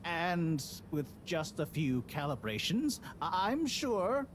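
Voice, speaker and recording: A man's voice: fairly high pitch, sing-song, slow, a little hiss or hum. An actor playing a nervous young male scientist who stammers and hesitates through a presentation. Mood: flustered.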